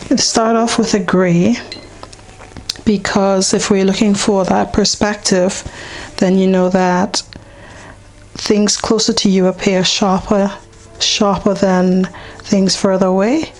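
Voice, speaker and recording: A woman whose voice is hoarse and partly gone, in a mid-range pitch. She guides a beginner through each step of a painting in an easy, unhurried way, with frequent ums as she works. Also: little pitch movement, darkish tone, low-quality recording. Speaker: painting teacher. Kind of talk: casual step-by-step painting demonstration.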